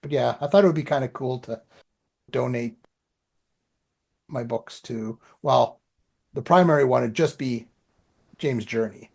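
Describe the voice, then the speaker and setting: A man's voice: medium pitch, measured pace, creaky, pauses often, darkish tone. Rambling, self-deprecating Canadian man, chatting casually. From Ottawa area, Canada. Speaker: podcast co-host and author. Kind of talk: casual chat, personal updates.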